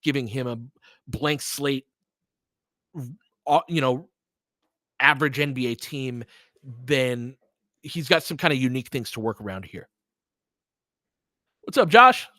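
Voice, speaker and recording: A man's voice: mid-range voice, expressive, unhurried, pauses often, pushed and bright, no room sound. Conversational male sports commentator, dry close sound. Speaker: sports podcast host and live play-by-play commentator. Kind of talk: casual analysis and live game commentary, chatting with listeners.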